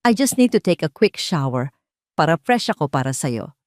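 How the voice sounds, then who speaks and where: A woman voicing a smooth, secretive young executive husband in an audio drama, affectionate and suave. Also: sits low, sing-song, normal speed, dry recording, clean studio sound. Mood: casual, evasive.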